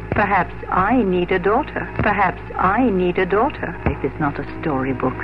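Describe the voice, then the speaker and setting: An actress playing a worldly, motherly older gentlewoman in a 1950s radio drama, speaking in a measured, formal, theatrical way. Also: fairly low pitch, animated. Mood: fond, plotting. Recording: muffled 1950s radio recording, music.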